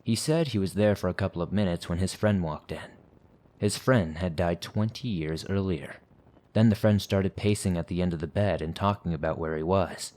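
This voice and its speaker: A man hosting a horror podcast, reading listener stories aloud in a steady, measured way. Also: deep voice. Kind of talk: scripted horror story narration.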